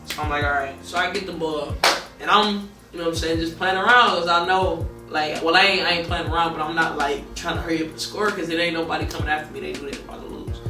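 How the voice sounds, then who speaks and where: A young woman from with a deep, monotone voice tells a personal story in a relaxed, rambling documentary interview. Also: fast. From Detroit. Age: young adult. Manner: laid-back, rambling, heavy with fillers. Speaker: college basketball player. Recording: roomy echo, distant mic, music behind.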